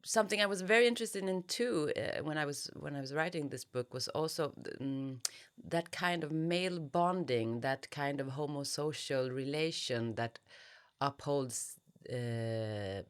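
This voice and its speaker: Woman author being interviewed, talks slowly, pauses a lot hunting for words. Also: deep voice, clean tone. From Sweden. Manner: halting, thoughtful, searching for words. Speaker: interviewed novelist. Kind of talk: reflective literary interview.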